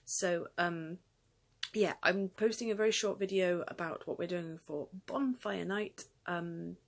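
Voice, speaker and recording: Low-pitched British woman chatting casually. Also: unhurried, vocal fry. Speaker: homeschooling mother and vlogger. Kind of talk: casual vlog chat.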